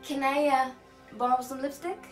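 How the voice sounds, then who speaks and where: A woman's voice: sits high, moderate pace, over music, natural voice. An actress playing a giddy, tipsy party girl in an audio drama, giggly and rambling.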